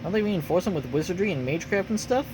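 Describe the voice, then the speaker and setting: A man voicing a cocky young swordswoman painter in a game, with rough, slangy, boastful talk. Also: high voice, expressive, fast, noisy background.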